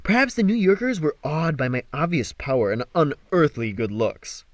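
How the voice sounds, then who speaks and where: Male audiobook reader, expressive, dramatic, lively swinging intonation, dry sound, scripted novel narration. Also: fairly high pitch, moderate pace, no echo.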